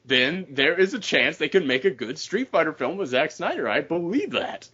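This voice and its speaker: A man with a fairly high, very lively voice chats on a group podcast. He is opinionated and emphatic and curses freely. The audio is dull and lo-fi. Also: normal speed, slightly rough. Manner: heavily sweary, emphatic, opinionated. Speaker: podcast co-host. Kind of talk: casual group podcast chat.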